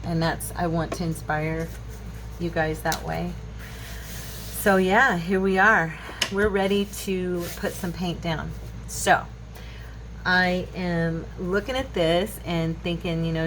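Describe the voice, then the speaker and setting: Low-voiced woman in her fifties, chatty. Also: takes it slowly. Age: 50s. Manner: chatty, rambling, musing aloud. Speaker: craft channel host. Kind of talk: casual crafting tutorial chat. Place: indoor craft table at home. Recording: clearly noisy home recording.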